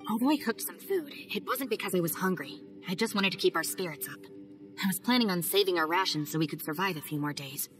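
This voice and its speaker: A woman voicing a calm, practical young woman in a game, speaking in a level, measured way. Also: on the low side, soft background music, close to an everyday voice. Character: calm, practical young woman, loyal gang second-in-command. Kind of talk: video game cutscene voice acting.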